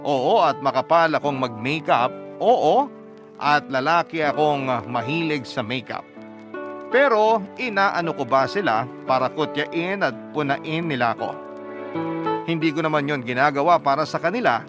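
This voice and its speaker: A man's voice: on the high side, animated, normal speed, over music, close to an everyday voice. A man playing a proud, sentimental young man who narrates his love story for a radio drama, earnest and reflective. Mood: indignant, earnest.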